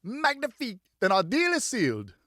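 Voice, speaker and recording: A man's voice: extremely high pitch, wildly swooping pitch, normal speed, bright, forceful tone, no room sound, clean studio sound, pitched above the performer's own voice, exaggerated voice. A man voicing a jovial, wily Frenchman who makes cognac, coaxing and exclamatory, in an audiobook. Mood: jovial, boastful. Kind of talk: audiobook character dialogue.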